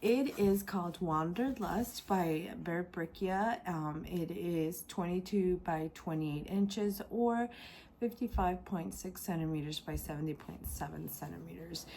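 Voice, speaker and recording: A woman hosting a casual craft unboxing vlog, her voice raspy and congested from a sore throat, speaking hesitantly with many ums. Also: fairly low pitch, measured pace, dark tone, slight background noise. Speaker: craft channel host.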